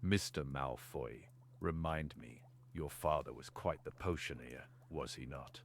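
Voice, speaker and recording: A man playing a stern, sardonic potions teacher in a fan audio drama, speaking in a deliberate, condescending way. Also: very deep voice, slight background noise. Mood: cold, sardonic. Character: stern, sardonic male potions master, cold and exacting.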